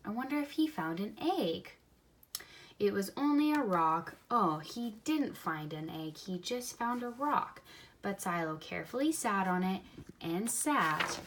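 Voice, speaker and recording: Woman reading a children's storybook aloud. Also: medium pitch. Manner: expressive, simple explanations as if to young children. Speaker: storybook reader. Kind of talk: children's read-aloud with think-aloud comments.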